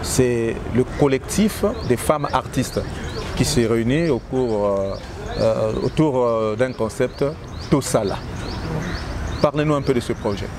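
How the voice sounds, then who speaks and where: A man poses formal, courteous interview questions, now and then pausing on fillers. The recording is outdoors, with birds and street traffic clearly audible under his voice. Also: mid-range voice. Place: outdoors in a city. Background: birds and passing vehicles.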